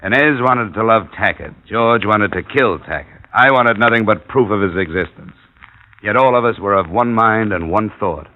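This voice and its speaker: An actor playing a tough, world-weary American investigator in 1950s radio noir, terse and measured in dialogue and narration. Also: male voice, lowish voice, lightly acted. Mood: somber narration. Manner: terse, measured, hard-boiled. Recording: muffled, noisy old radio recording.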